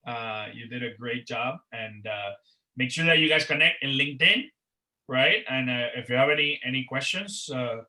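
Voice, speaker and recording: A man's voice: mid-range voice, normal speed, bright, forceful tone, low-quality recording. A man who organizes a career network gives rambling closing remarks on a video call, repeating words and using many "uh" and "you know" fillers. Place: Miami.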